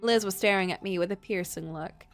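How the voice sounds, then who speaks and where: A woman's voice: mid-range voice, fairly quick, soft background music. A woman streaming a game, reading story text expressively and adding casual, joking reactions.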